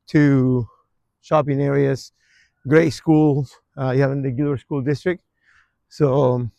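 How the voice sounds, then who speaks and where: A man, giving a relaxed, rambling walking tour of his old neighborhood outdoors, with lots of fillers and restarts. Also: mid-range voice, creaky, no room sound. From Argentina. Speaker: real estate vlogger.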